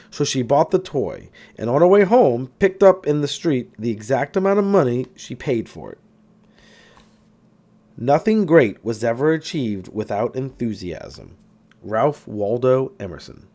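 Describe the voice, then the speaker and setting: Man reading aloud with lively, swinging pitch. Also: a little slow. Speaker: audiobook reader. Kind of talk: read-aloud self-help spiritual book narration.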